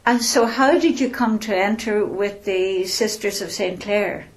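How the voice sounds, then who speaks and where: A woman hosts an interview at a slow, unhurried pace. She is gentle and courteous, often pausing with small hesitations as she frames her questions. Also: medium pitch. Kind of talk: local interview.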